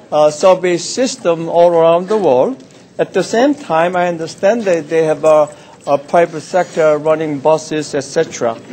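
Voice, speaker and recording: An older man from South Korea, a city councillor giving a measured, halting nomination speech. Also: sits high, darkish tone, slight background noise. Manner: measured, halting, many "uh" pauses. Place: council chamber.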